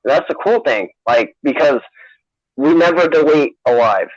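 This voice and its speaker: Co-host, 30s man, excitable and loose-mouthed; dull, dry lo-fi audio. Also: fairly high pitch, unhurried, no echo. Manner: excitable, casual swearing. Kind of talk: casual livestream chat.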